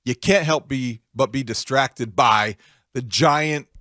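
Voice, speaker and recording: A man's voice: mid-range voice, slow, pushed and bright, dry recording, studio quality. An American man hosting a sports radio show, putting questions to a guest in a casual interview style.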